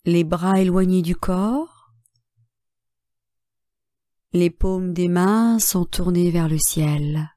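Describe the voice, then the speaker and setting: A woman guiding a relaxation meditation as a yoga nidra instructor, calm and measured, speaking in short phrases with long pauses. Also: lowish voice, dry recording, clean studio sound.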